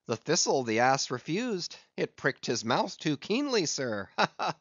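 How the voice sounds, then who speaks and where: A man's voice: fairly high pitch, big pitch swings, measured pace, a bit bright, dry recording, heavily acted. A cocky ship's mate, put on by a male reader, jeering in a raised, mocking voice. The recording is muffled and dry. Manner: mocking, laughing. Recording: dull lo-fi audiobook recording.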